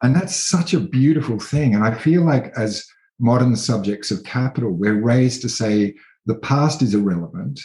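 A man's voice: mid-range voice, animated, moderate pace, a little mellow, echoey room. A man in his thirties from country New South Wales with an Australian accent, speaking as an interview guest in a thoughtful, hesitant way with many fillers and restarts. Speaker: interview guest, artist and researcher. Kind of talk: reflective conversational interview.

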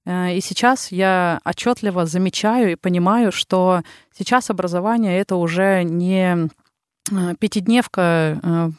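Woman, 30s, Ural Russian accent; reflective podcast guest, lots of hesitations, smooth resonant voice, dry close sound. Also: on the low side, a little slow, dry recording. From Russia, the Urals. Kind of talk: casual interview talk.

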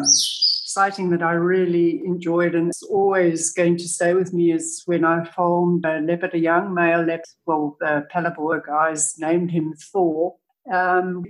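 A woman's voice: lowish voice, poor audio. An older woman from Tanzania, a podcast guest telling rambling, enthusiastic stories about wildlife sightings. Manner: enthusiastic, rambling, laughs often. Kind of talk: casual interview storytelling.

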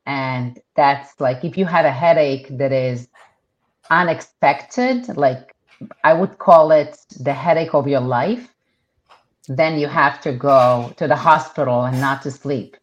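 Israeli-born lady, hebrew first language, really low voice, rambly with lots of ums. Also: slow pace. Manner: chatty, many fillers and restarts. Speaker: realtor guest. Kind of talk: casual interview talk. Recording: dull lo-fi sound.

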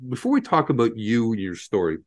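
A man's voice: mid-range voice, huge pitch swings, a little fast. A man hosting a podcast in a casual, enthusiastic way, often laughing and restarting his sentences. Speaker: podcast host.

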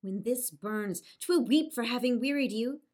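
A gentle, smitten young island maiden, played by a woman on the Shakespearean stage. She speaks in a fairly high voice at a very slow, careful pace, earnest and pleading in every line. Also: lively intonation. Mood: concerned, pleading. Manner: earnest, pleading, measured verse delivery. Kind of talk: theatrical Shakespearean stage acting.

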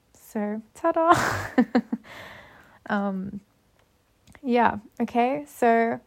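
A woman's voice: mid-range voice, vocal fry. A young woman chatting casually and upbeat to her viewers, a little sick with a cold. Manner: chatty, rambling, upbeat self-talk. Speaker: vlogger.

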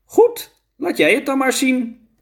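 A man's voice: extremely high pitch, sing-song, slow, vocal fry, pitched above the performer's own voice, heavily acted. A man voicing a patient, matter-of-fact non-human teacher of young children in a storybook. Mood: patient, instructive.

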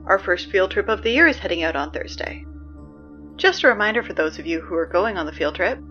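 Muffled, as if over a school PA with music underneath, an actress plays a female morning announcer in an audio drama. She is reluctant and awkward, delivering official notices in a weary deadpan. Her pitch sits in the middle range, and she sounds easily flustered and exasperated. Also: natural voice. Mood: solemn deadpan.

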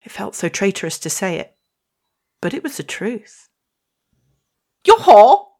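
A woman's voice: medium pitch, animated, normal speed. A woman, telling a reflective personal story and reading her poem with wry, self-deprecating humour. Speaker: podcast host and poet.

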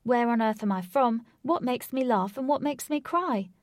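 A woman voicing a defiant young woman who confronts her stalker in a raised, forceful voice. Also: moderate pace, no room sound, studio quality, lightly acted. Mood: insistent. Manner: forceful, pleading, high.

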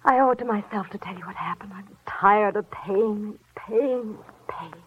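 A woman's voice: mid-range voice, moderate pace, slightly rough, lightly acted. An actress playing a grieving, defensive young woman in a 1940s radio melodrama, guarded and weary. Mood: weary, resigned. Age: young adult. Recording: dull old radio recording.

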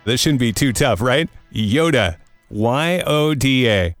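A man's voice: medium pitch, animated, takes it slowly. A man hosting a morning-radio style podcast with a wry, joking, conversational delivery. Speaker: podcast host. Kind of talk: morning-radio show banter and quips. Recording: dry studio with music bed.

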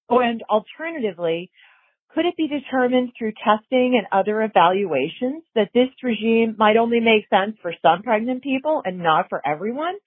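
A woman's voice: fairly high pitch, slightly rough. Polite woman caller in her forties. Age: 40s. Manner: polite, articulate. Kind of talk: recorded voicemail question. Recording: phone line.

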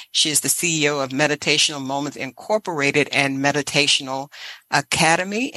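A woman's voice: deep voice, bright, forceful tone, no room sound, low-quality recording. A woman hosting a podcast in a conversational style. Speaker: podcast host.

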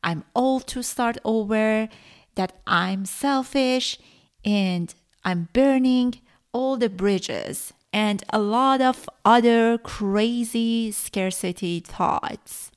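Slow, earnest middle-aged woman host. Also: fairly high pitch, clear, resonant tone. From Iran. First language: Farsi. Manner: earnest, reflective storytelling with frequent "uh" pauses. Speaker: podcast host. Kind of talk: solo podcast monologue.

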